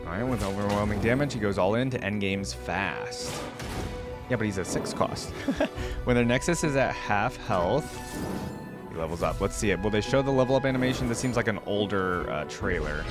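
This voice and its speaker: Low-voiced man reacting to game videos. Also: lively intonation, moderate pace, music in the background. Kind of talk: casual reaction commentary.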